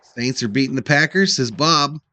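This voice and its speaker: A man's voice: mid-range voice, animated, normal speed, sharp tone, faint music behind. A man hosting a live sports stream, casually calling plays and chatting with viewers in an informal, laid-back way. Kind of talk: casual fan play-by-play and chat reading.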